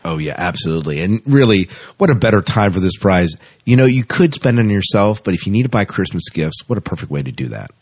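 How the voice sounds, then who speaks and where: A man's voice: deep voice, big pitch swings, fast talker, hardly any pauses, husky. A man co-hosting a podcast, talking in an informal, rambling, conversational way. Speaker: podcast co-host. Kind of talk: casual podcast chat.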